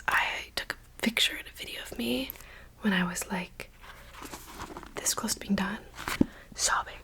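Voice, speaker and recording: A woman's voice: a little high, sharp tone. A young woman whispering a chatty, dramatic book review in an ASMR style.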